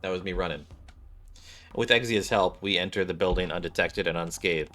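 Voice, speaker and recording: A man's voice: low-pitched, moderate pace, pushed and bright. A man streaming a game, reading its dialogue aloud and adding casual commentary.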